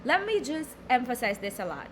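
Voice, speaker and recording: A woman's voice: on the high side, very animated, fairly quick, a little hiss or hum. A young Filipino woman with a Filipino accent, teaching a recorded lecture, reading from slides and explaining with many fillers and repeated words. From Philippines.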